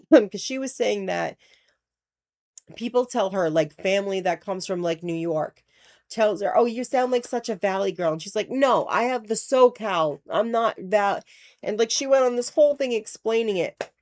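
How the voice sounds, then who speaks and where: A woman's voice: mid-range voice, animated, moderate pace, darkish tone. A woman with a Rhode Island accent, a podcast cohost chatting casually, swearing freely and retelling conversations. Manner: chatty, profane, quotes others in retelling. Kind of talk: casual chat.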